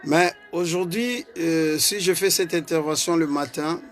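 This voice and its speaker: A man's voice: on the high side, a little slow, soft background music. A man with a Congolese French accent gives an earnest political commentary as a party vice-president, hesitating and repeating words as he goes. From Congo (DRC).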